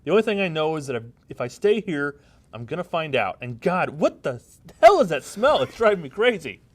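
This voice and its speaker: A man's voice: on the high side, big pitch swings, fairly quick, pitched above the performer's own voice. A man playing a frantic, melodramatic young person on the run in an overwrought, pleading dramatic scene reading. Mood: agitated, anguished.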